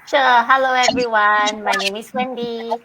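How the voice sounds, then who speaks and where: A woman's voice: on the high side, fairly even intonation, moderate pace. A woman from Singapore, a guest on a live video chat, talking in an upbeat way. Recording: video call with loud crowd noise.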